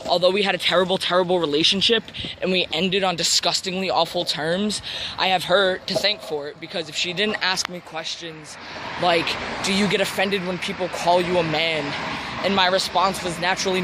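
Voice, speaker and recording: Higher-pitched young man over loud traffic noise. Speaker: vlogger. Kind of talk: personal confessional vlog.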